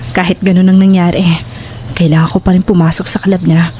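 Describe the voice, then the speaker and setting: A woman's voice: on the low side, little pitch movement, brisk, dark tone, lightly acted. A woman playing a frightened, guilt-ridden young nightclub worker in a Tagalog horror audio drama, distraught and pleading. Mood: subdued, resolute. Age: young adult. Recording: noticeable background noise.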